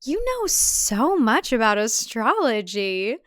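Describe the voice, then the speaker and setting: A woman voicing a quick-witted young heroine who is scheming her way out in a thriller story. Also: a little high, sing-song, a bit bright, dry recording, clean studio sound, exaggerated voice. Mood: falsely sweet. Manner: falsely sweet, cooing, muttering when alone.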